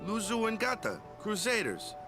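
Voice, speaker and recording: Casting: humble, laid-back young island jock for a game, animated pitch swings, music underneath. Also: male voice, moderate pace. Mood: friendly, reassuring. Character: easygoing, humble young male island athlete. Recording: game audio with music over it.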